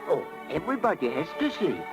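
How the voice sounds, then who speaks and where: An actor playing a kindly, doting old woodcarver who stammers and exclaims in a 1930s radio drama. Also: male voice, a little high, wildly swooping pitch, quick pace. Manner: stammering, repeating words, exclaiming. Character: kindly excitable old male woodcarver, doting, easily startled. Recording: vintage 1930s radio broadcast with music.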